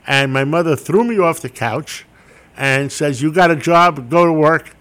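A man's voice: on the high side, unhurried, a bit bright. An older man, a Greek speaker from childhood, telling wry, self-deprecating stories as an interview guest. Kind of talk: interview storytelling.

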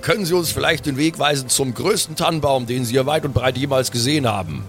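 A man's voice: mid-range voice, quick pace, a bit bright, soft background music, pitched above the performer's own voice, close to an everyday voice. A man playing an impatient, suspicious dwarf cleric who talks with blunt, wordy politeness. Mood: insistent.

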